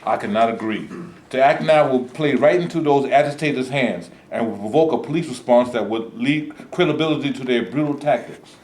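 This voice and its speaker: A man's voice: mid-range voice, brisk, darkish tone, lightly acted. A man playing a cautious, principled protest movement leader in a staged reading, speaking formally and earnestly. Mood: firm, troubled. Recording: echoey room, live staged reading.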